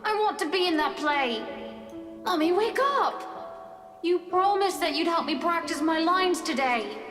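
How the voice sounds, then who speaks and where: A woman's voice: very high-pitched, animated, measured pace, a bit bright, full character voice. A woman voicing an earnest young child in a visual novel, eager and pleading, in an echoing, distant recording. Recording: distant, echoing with long reverb. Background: music.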